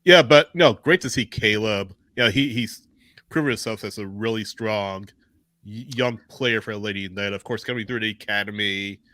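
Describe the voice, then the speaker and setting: A man hosting a casual live sports chat, agreeable and a little halting as he talks. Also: on the low side, bright, forceful tone, no room sound. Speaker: sports podcast host.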